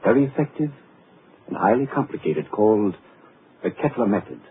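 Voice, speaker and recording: A sinister mad doctor with a silky, mock-polite manner and a gloating edge under every courtesy. His voice sits slightly low. It is heard through a tinny, hissy 1940s radio broadcast. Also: big pitch swings, normal speed. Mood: silkily polite. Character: sinister mad male doctor, smooth and menacing. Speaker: villain.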